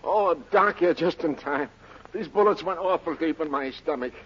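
An actor playing a wounded American gangster boss in a 1940s radio comedy, plaintive, slangy and in pain. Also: male voice, on the high side, moderate pace, close to an everyday voice. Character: wounded gangster boss, groaning but agreeable. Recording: noisy, dull old radio recording.

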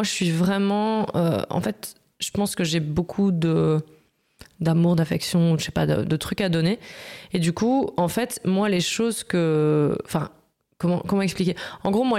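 A woman's voice: fairly low pitch, a little mellow. A woman in her thirties talking as a podcast co-host in a casual, slangy and candid personal conversation. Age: 30s. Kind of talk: casual, confessional podcast chat.

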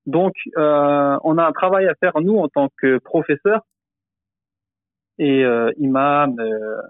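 A man who teaches the Quran, explaining slowly in a podcast discussion, hesitant, with many fillers and restarts. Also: fairly high pitch, no echo, narrow, tinny audio.

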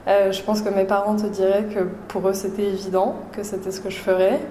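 A woman chef from Paris, talking about her career in a relaxed, halting way with many fillers. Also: medium pitch, echoey room, a little hiss or hum. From Paris, France. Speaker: chef and restaurant owner, guest. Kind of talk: casual interview.

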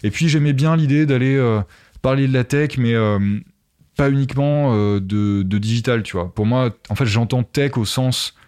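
A man's voice: medium pitch, moderate pace, a little mellow, clear, resonant tone. A man talking casually in an interview as a podcaster, explaining his ideas conversationally with asides to the listener.

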